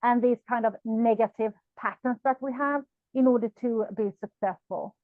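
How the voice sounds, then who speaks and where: A woman's voice: fairly high pitch, fairly even intonation, moderate pace, dry recording, narrow, tinny audio. A woman from Sweden, a non-native English speaker, hosting an interview and talking in a thoughtful way, often repeating words as she searches for them. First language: Swedish. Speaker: podcast host. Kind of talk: conversational interview.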